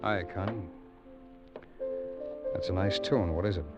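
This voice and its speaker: Low-voiced, weary hard-boiled tough guy. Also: measured pace. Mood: casual, dry. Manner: laconic, world-weary. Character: weary, cynical male tough guy with a conscience. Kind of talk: 1940s hard-boiled radio drama acting. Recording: dull old radio recording, music behind.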